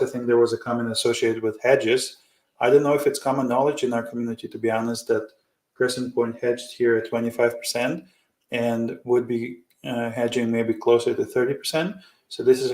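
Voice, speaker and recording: A man's voice: medium pitch, darkish tone. A man giving informal, off-the-cuff financial commentary as a host, wordy and often circling back on his phrases. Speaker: host of an investing commentary show. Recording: roomy, echoey sound.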